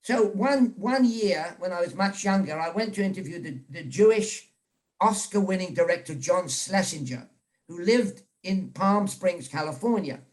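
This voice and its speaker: A man's voice: sits high, expressive, moderate pace, low-quality recording. An older man from England with a British accent, a journalist guest chatting over a video call, rambling with many restarts and repeated words. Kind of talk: casual storytelling chat.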